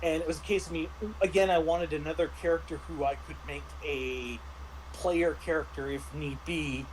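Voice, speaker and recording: A man's voice: a little high, fairly even intonation, normal speed, poor audio. Man chatting over noticeable background noise. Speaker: game master and panelist on a review show. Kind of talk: casual chat recapping a tabletop roleplaying game.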